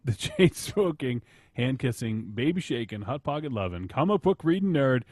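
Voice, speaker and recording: A man's voice: medium pitch, sing-song, moderate pace, darkish tone, no echo. A man hosting a podcast in casual banter, teasing his friends crudely and swearing freely. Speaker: podcast host.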